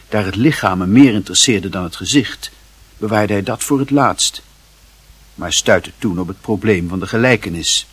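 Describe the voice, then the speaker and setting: A man narrating a literary radio play as a wry storyteller with a husky voice. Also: fairly low pitch, a bit bright, no echo, heavily acted.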